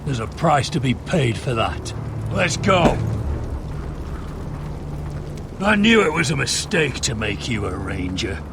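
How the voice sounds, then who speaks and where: An actor playing a stern, accusing, scornful officer of a sworn brotherhood in a game drama, with a gravelly voice. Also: male voice, mid-range voice, big pitch swings, moderate pace, background noise. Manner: menacing, scornful.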